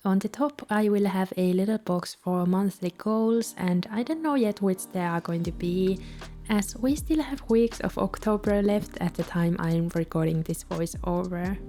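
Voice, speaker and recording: A woman with a Finnish accent speaks gently in a calm voice-over. Her tone is mellow and relaxed at a mid pitch. Soft music plays faintly beneath her voice. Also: moderate pace. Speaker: bullet journal vlogger.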